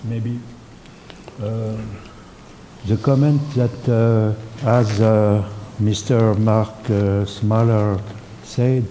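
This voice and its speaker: Very dark, slow, halting man in a hall. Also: mid-range voice, slight background noise. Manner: halting, heavy with fillers and restarts. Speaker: panelist. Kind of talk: hesitant spontaneous remarks. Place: conference hall.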